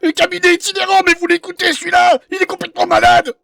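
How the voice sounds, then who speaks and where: A man's voice: sits high, expressive, normal speed, vocal fry, fairly bright tone, breathy edge, no echo, full character voice. A man voicing a cowardly, boastful swindler in an improvised game roleplay, whining and pleading theatrically. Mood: frantic. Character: shrill, cowardly charlatan, boastful, melodramatic male swindler.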